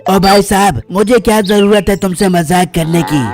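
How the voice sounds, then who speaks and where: A man's voice: sits high, normal speed, crowd noise, close to an everyday voice. A man voicing a sly, bossy swindling cattle trader in a comic cartoon, with brash street banter. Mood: irritated, bossy.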